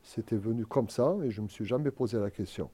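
A man's voice: fairly low pitch, fairly quick, warm tone, breathy edge. A man giving unscripted answers in a group interview, hesitant and reflective as he searches for words. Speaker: interviewed guest.

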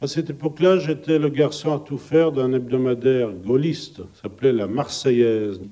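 A man's voice: medium pitch, steady tone, moderate pace, mellow. A man presenting on radio in a theatrical, playful way, slipping into foreign phrases.

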